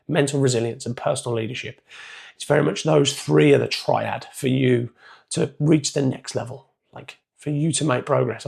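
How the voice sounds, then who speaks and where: A man with a hoarse, croaky voice giving a casual, chatty motivational coaching talk. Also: medium pitch. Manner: casual, chatty, loosely structured. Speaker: performance coach.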